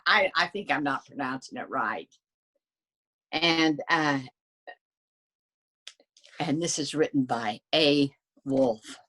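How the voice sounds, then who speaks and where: A woman reading aloud to children, informally explaining the book in a halting way and asking questions. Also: low-pitched, very animated, a bit bright. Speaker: storybook reader.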